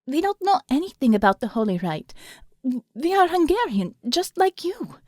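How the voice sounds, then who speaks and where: A woman voicing a frightened young shopkeeper who speaks in a higher, trembling voice. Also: sing-song, moderate pace, darkish tone, no echo, studio quality. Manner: trembling, pleading.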